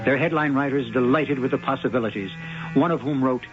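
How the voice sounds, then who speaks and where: A man narrating a 1970s radio mystery drama in a measured, ominous storytelling manner. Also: medium pitch, natural voice. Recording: lo-fi old radio broadcast with music.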